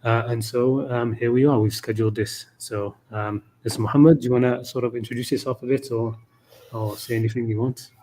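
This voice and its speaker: A man's voice: medium pitch, fairly even intonation, fairly quick, mellow, a little hiss or hum. A man hosting a casual video chat, friendly and rambling, filling his sentences with ums. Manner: friendly, filler-heavy, rambling. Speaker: host. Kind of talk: casual conversation.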